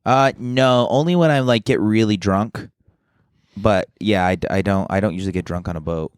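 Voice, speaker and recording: A man's voice: on the low side, normal speed, clear, resonant tone, dry recording, clean studio sound. A man chatting casually as a comedy podcast co-host, with a slangy, crude, joking manner.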